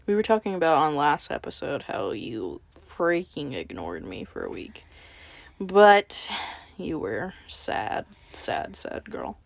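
A woman's voice: low-pitched, very animated, slow, vocal fry. A young woman co-hosting a podcast, chatting casually and teasing her friend with dry jokes. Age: young adult. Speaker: podcast co-host. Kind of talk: casual chat.

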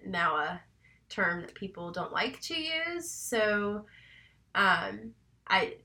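Young woman from north carolina, stuffed up with a cold, chatty rambling podcast talk, bright voice. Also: mid-range voice, very animated, slow pace. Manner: chatty, rambling, self-deprecating. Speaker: podcast co-host. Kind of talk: casual true crime podcast chat.

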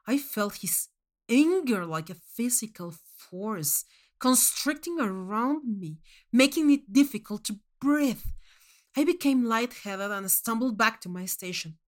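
A woman reading first-person fiction as a scripted narration, in a measured, expressive storytelling manner. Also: a little high. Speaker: short story reader.

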